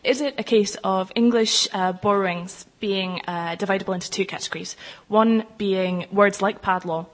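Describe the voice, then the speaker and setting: A woman interviewing a linguist and then wrapping up the report in measured questions and narration. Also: medium pitch.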